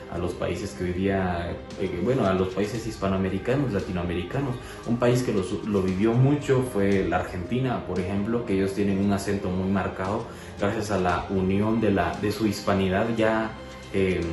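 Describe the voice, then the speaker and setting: Hesitant man explaining history, echoey. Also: on the low side. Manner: hesitant, many fillers and restarts. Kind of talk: casual educational chat. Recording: echoey room with music.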